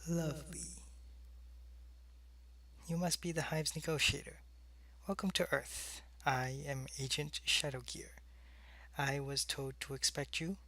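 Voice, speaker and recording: A man playing an alien agent in a sci-fi audio roleplay, explaining things earnestly in a measured, matter-of-fact way. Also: on the high side, steady tone, bright, forceful tone, exaggerated voice. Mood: watchful, dry, then polite, deferential. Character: earnest alien agent briefing his superior on humans.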